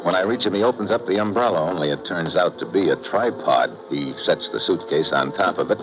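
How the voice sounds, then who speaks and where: Very deep-voiced hard-boiled American investigator. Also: male voice, expressive, a little fast, music in the background. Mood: tense narration. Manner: wry, clipped, hard-boiled. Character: wisecracking, tough American male investigator narrating his case. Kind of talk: old-time radio narration and acting. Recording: muffled, hissy old radio recording.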